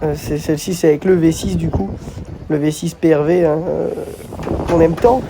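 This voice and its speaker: A man's voice: on the high side, steady tone, normal speed, vocal fry, noisy background. A man with a slight head cold gives casual vlog commentary as a host, hesitating and repeating words as he goes.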